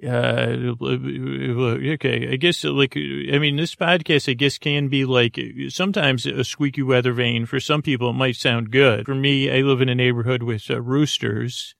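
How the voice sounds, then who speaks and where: A man with a creaky voice hosting a bedtime podcast, rambling in a halting, meandering monologue that trails off mid-thought. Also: medium pitch, fairly even intonation, a bit bright, dry recording, studio quality. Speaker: podcast host.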